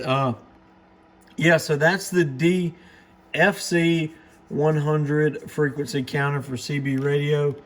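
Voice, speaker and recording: A man hosting a casual unboxing and gear demonstration, talking in a low-key, plain-spoken, understated way. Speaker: host of a radio gear review channel. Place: indoor workbench in a radio shop. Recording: clearly noisy room recording.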